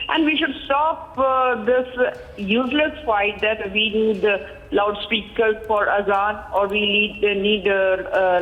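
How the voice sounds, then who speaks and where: Echoing, muffled Indian woman giving opinion. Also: mid-range voice. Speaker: political party spokesperson, news guest. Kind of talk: giving opinion in a live news interview. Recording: dull, echoing remote connection.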